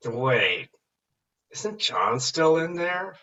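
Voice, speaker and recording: Man playing slow-talking cocky farm boy, bright voice. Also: fairly high pitch, sing-song, exaggerated voice. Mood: flustered. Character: cocky, lovesick, dim-witted young farm boy. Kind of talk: table read acting. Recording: muffled, distant mic.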